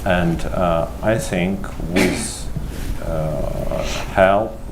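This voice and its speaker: Very slow, deep-voiced halting man. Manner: halting, many pauses and fillers. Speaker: church leader, panelist. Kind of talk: panel remarks. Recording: noticeable background noise.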